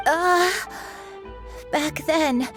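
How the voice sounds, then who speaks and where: A woman voicing a gentle, forgetful old woman who speaks slowly and haltingly. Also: sits high, faint music behind, exaggerated voice. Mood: wistful. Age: older. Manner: slow, halting, gravelly. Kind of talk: game voice acting.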